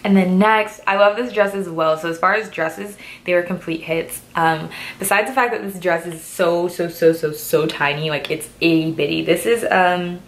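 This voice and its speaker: A woman in her twenties chatting casually through a clothing try-on haul, a little stuffy from a cold and full of "like" and "um" fillers. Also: fairly low pitch. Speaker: fashion vlogger.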